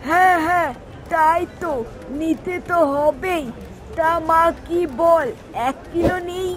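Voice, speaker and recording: Very high-pitched, agreeable mother-in-law, voiced by a woman. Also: fairly even intonation, slow. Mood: agreeable, busy. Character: agreeable, homely middle-aged mother-in-law. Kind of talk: cartoon story dialogue.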